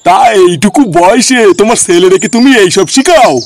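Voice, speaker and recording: A man voicing an excitable village elder in a comedy cartoon, with animated rustic speech. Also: high voice, a little fast, no echo. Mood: stern reproach. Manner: animated, colloquial rural speech. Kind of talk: exaggerated cartoon comedy dialogue.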